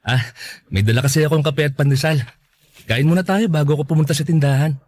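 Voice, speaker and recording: A man playing a kind, gently joking young businessman in a Filipino radio drama. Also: a little high, quick pace, close to an everyday voice. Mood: friendly, joking.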